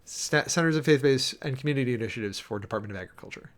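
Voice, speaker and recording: A man reading a document aloud and commenting on it as a streamer, with wry, sardonic asides and delivery that shifts between halting emphasis and rapid reading. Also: medium pitch. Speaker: streamer and preacher giving commentary.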